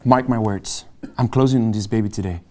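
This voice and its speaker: A man's voice: on the low side, fairly quick, very noisy, close to an everyday voice. A man reading a naive, eager junior realtor. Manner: hesitant, stammering, eager to please. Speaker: actor in a script table read. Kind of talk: script table reading.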